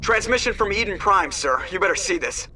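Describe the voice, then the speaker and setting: A man's voice: sits high, lively intonation, brisk, bright, forceful tone, distant mic, a little hiss or hum, heavily acted. A man playing an urgent military starship pilot in a video game, giving terse reports. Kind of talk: video game cutscene acting.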